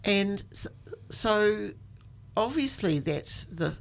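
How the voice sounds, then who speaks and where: A woman's voice: mid-range voice, expressive, takes it slowly, vocal fry. An older woman with a New Zealand accent, telling personal stories in an informal, reflective, conversational way. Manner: informal, reflective, often says "you know". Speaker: storytelling guest, activist.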